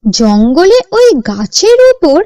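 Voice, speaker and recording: A woman narrating a scripted cartoon story in a measured, expressive storytelling manner. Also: high voice, no echo, soft background music.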